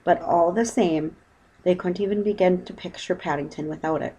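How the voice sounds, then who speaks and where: Woman reading a children's story, lowish voice. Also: moderate pace, dark tone, breathy edge, low-quality recording. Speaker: audiobook narrator. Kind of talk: scripted children's story narration.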